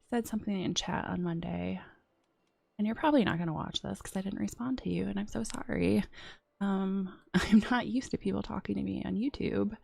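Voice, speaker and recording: A woman streaming a strategy game and chatting casually, a bit sniffly from a runny nose, often dropping into soft whispered asides. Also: mid-range voice, creaky, dry recording. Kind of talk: casual gameplay commentary.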